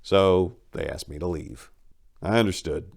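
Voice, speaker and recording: A man's voice: low-pitched, a little slow, creaky. A man reading aloud in a wry, conversational storytelling manner, like a casual dramatic audiobook host.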